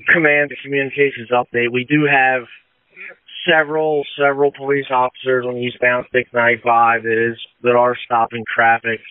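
Clear, even-toned firefighter on radio, male. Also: mid-range voice, moderate pace, no echo. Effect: two-way radio. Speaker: firefighter reporting to command. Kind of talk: emergency radio dispatch traffic. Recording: narrow dry two-way radio transmission.

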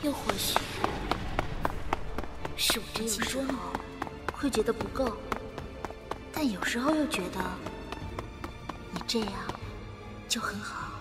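An actress playing a tender, wistful young woman in a fantasy audio drama, speaking gently and hesitantly. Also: fairly high pitch, a little slow, pauses often, loud music behind the voice, lightly acted.

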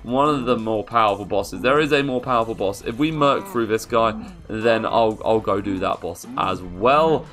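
A young British man giving casual, slangy gameplay commentary in an excitable, self-interrupting way. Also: on the low side, moderate pace. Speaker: gaming YouTuber. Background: music under the voice.

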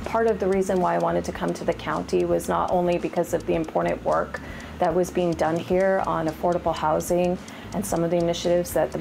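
A woman in her forties from Rhode Island, a county government affairs manager answering quick interview questions in an earnest, plain-spoken way. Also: lowish voice, gravelly, a little mellow. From Rhode Island, USA. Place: office, indoors. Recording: background music underneath.

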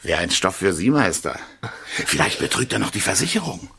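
A man's voice: deep voice, big pitch swings, a little fast, gravelly, sharp tone, slightly rough, heavily acted. An actor playing a brash, jovial businessman in a 1970s radio play, effusive, breezy and sure of himself. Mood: cocksure. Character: brash, gushing American businessman, nosy and jovial.

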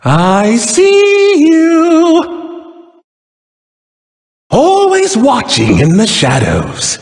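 An actor playing a mad, gleefully sinister trickster jester god for a video game, taunting in a giggly, theatrical sing-song. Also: male voice, very high voice, wildly swooping pitch, extremely slow, heavily acted. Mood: sly, menacing. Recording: echoey hall-like reverb.

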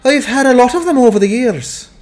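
A man's voice: very high voice, lively intonation, fairly quick, a little mellow. A man voicing a slick, fatherly sports agent and hustler in a dramatized fantasy audiobook, genial and wheedling. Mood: genial, smooth. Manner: genial, wheedling, calls listeners "son".

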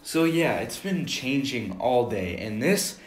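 A man's voice: mid-range voice, expressive, takes it slowly, very noisy. A young American man giving rambling, informal commentary over a screen recording in an earnest way. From Minnesota, United States. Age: teenager or young adult. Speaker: amateur video maker. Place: room at home. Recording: roomy, echoey home recording.

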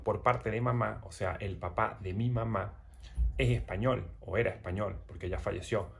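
Chatty man, low voice. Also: vocal fry. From Venezuela. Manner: chatty and direct, addresses the viewer as you, repeats himself. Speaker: YouTuber explaining a legal process. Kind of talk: casual explainer to camera. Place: small indoor room at home. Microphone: camera or phone close in front.

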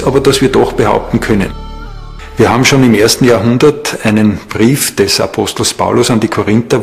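A man with a somewhat low voice explains as an interviewed expert. His delivery is measured and didactic, choosing phrases with care.

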